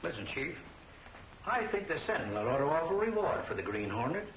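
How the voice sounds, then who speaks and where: A man's voice: on the high side, very animated, brisk. An actor playing an eager, calculating newspaperman in an old 1940s film serial. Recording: echoey, hissy old film soundtrack.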